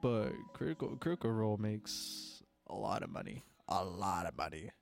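A man's voice: lowish voice, very animated, normal speed, vocal fry, soft background music. A young man with a cold, congested, chatting casually as a podcast co-host and rambling with lots of filler words. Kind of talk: casual chat.

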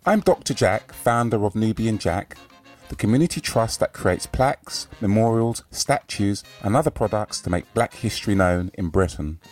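A man with a fairly low voice hosts a podcast in a measured, formal way. He listens attentively and asks careful follow-up questions. Faint music sits under his talk. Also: lively intonation, a little mellow. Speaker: podcast host. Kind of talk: scripted intro, then interview.

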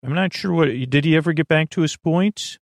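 Creaky-voiced man rambling soothingly. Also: a little high, fairly even intonation, dry recording, clean studio sound. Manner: hesitant, digressive, drawn-out soothing delivery. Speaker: podcast host. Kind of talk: rambling, meandering sleep podcast monologue.

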